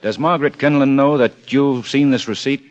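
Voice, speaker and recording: An actor playing a shrewd, unhurried American police lieutenant in 1950s radio crime drama, dry and probing. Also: male voice, mid-range voice, close to an everyday voice. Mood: dry, musing. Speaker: police detective. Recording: muffled old radio broadcast, music behind.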